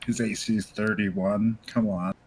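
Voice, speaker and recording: A man with a lowish, gravelly voice plays a tabletop game, talking casually. There is faint noise behind him. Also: level tone, measured pace, low-quality recording.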